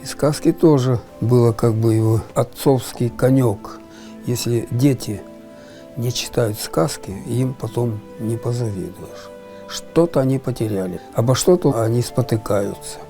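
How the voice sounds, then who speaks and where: A man's voice: mid-range voice, unhurried, warm tone, soft background music. A man talking reflectively in an unscripted interview.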